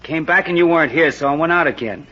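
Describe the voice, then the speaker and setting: An actor playing a weak, resentful, hard-drinking husband in an old-time radio crime drama, defensive and hesitant. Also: male voice, on the high side, fast talker, sharp tone. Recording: dull, slightly noisy old radio recording.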